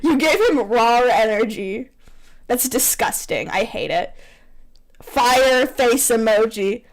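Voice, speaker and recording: A woman reading fan fiction aloud in a casual, teasing, animated way on a rough microphone, bantering as she goes. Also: slow. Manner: animated, teasing, breaks into laughter. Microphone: poor-quality microphone. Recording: rough low-quality microphone.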